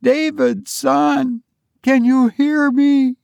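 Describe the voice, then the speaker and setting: A man's voice: extremely high pitch, slow, vocal fry, a little mellow, no room sound, pitched above the performer's own voice, exaggerated voice. A man voicing a desperate, defiant grieving mother in a dramatic story reading, pleading breathlessly and insistently. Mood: desperate, calling.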